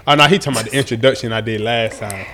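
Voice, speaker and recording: A man's voice: medium pitch, very fast, heavy background noise. A man co-hosting a podcast in a loose, slangy, laid-back way. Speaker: podcast co-host. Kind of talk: casual chat.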